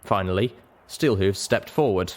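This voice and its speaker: A man reading scripted first-person fiction as an audiobook narrator, with a dramatic, expressive delivery. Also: fairly low pitch, moderate pace.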